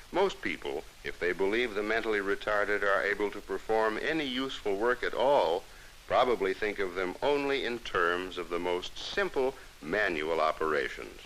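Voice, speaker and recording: A middle-aged American man narrating a scripted 1960s educational film in a measured, formal, instructive manner, on an old film soundtrack. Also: on the low side, bright, forceful tone, slight background noise, poor audio.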